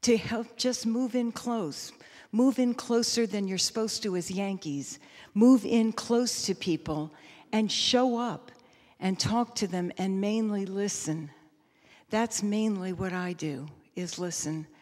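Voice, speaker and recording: A woman pastor giving reflective, sermon-like panel remarks, measured and emphatic, often repeating phrases. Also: mid-range voice, darkish tone. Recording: echoey hall with long reverb.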